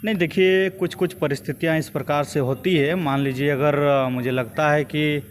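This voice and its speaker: A young man with a Jharkhand Hindi accent speaks in a fairly high voice, with some background noise. He gives measured, hesitant interview answers as a grassroots political activist. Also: steady tone. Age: young adult. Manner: hesitant, measured, repetitive phrasing.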